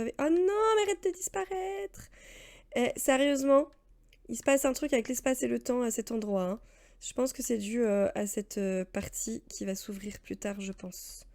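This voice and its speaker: A woman's voice: a little high, mellow. Chatty thirtysomething woman streamer. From France. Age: 30s. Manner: rambling, chatty, laughs often, answers chat. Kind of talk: casual let's-play chat.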